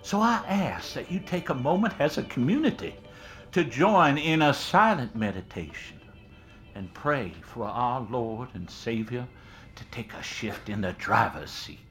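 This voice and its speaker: A solemn trucker preacher delivers an earnest sermon in an audio drama. He is slow and theatrical, with pitch that rises and falls widely. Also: a bit bright. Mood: solemn, prayerful. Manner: theatrical, deliberate. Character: earnest, solemn male trucker preacher addressing his flock. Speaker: actor in an audio fiction drama.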